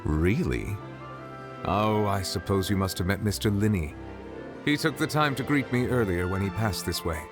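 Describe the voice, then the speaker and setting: A man playing a reserved, dignified official in a video game, speaking formally and calmly. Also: on the low side, normal speed, lightly acted. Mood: calm, courteous. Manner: formal, composed. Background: music.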